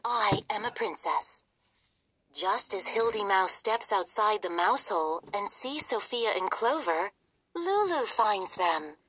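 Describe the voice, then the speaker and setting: A woman reading a children's story aloud in an expressive, theatrical manner. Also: mid-range voice, a little slow, a little hiss or hum, low-quality recording. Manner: animated, theatrical. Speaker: storybook reader.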